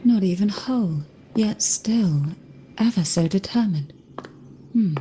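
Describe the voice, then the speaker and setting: Slow, mellow, enigmatic woman. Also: mid-range voice, animated, a little hiss or hum. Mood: musing. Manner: measured, composed, solemn. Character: calm, enigmatic, knowing woman guardian of a sacred sword. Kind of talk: fantasy game voice acting.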